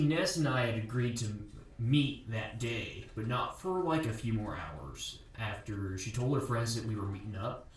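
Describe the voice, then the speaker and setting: Male actor as young ex-boyfriend, audio drama, halting casual speech, guarded, off-mic, heavy room echo. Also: fairly low pitch, natural voice. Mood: hesitant, regretful. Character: guarded, hurt 24-year-old college athlete, missing girl's ex-boyfriend. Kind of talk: audio drama acting as a recorded interview. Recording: very echoey, distant from the microphone.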